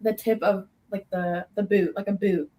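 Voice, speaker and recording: A young woman guest tells her story at a casual pace. She rambles and fills her talk with "like", and her voice sits at an ordinary pitch. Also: fairly even intonation, moderate pace, mellow. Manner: rambling, with many fillers of "like".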